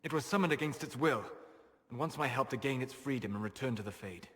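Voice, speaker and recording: Guy doing controlled scholarly elf mage for a fantasy game, precise formal speech, bright forward voice, big echoey tail. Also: medium pitch, lightly acted. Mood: grave, measured. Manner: measured, formal, precise phrasing. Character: calm, scholarly elven male mage, grave and controlled. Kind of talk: dramatic video game dialogue.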